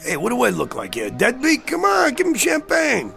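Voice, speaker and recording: A man's voice: very high-pitched, wildly swooping pitch, moderate pace, vocal fry, sharp tone, full character voice. A man playing a brash, argumentative hard drinker in a radio drama, with a pushy, sarcastic way of talking. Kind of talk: dramatized radio-play dialogue. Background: faint music.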